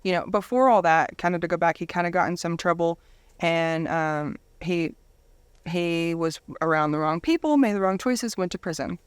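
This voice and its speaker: A woman's voice: fairly low pitch, moderate pace, clean tone, no echo. A woman in her thirties telling her personal story candidly as a guest in a casual interview. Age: 30s.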